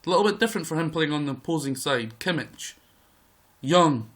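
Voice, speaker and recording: A man with a croaky voice giving excitable live play-by-play commentary over a football management game. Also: fairly high pitch, a little fast. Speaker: gaming video host.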